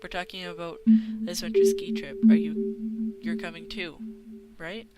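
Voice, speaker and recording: A woman's voice: fairly low pitch, a little slow, natural voice. A woman voicing a cautious, friendly young man in a visual novel, tentative and probing. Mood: gingerly. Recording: music playing behind the voice.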